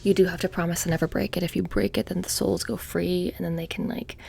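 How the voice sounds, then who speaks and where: A soft-spoken woman doing close, whispery ASMR roleplay as a witch shopkeeper, with a casual, halting, deadpan menace. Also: lowish voice, creaky, slight background noise.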